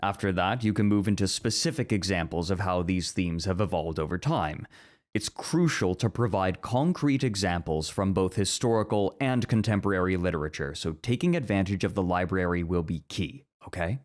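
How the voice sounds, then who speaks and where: A man acting in an ASMR roleplay as a strict, orderly academic teacher who is easily flustered, speaking in formal, measured lectures. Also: low-pitched, animated. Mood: earnest, instructive.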